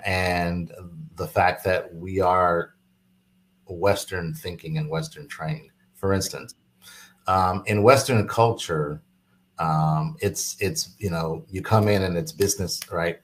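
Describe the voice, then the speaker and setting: A man who is a business executive guest in an interview, explaining steadily with many fillers and quick affirmations. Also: low-pitched. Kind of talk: casual interview chat.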